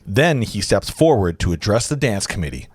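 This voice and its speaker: A man's voice: on the low side, sing-song, moderate pace, breathy edge, no echo. A man reading screenplay directions as the narrator of a table read, informally, with casual asides.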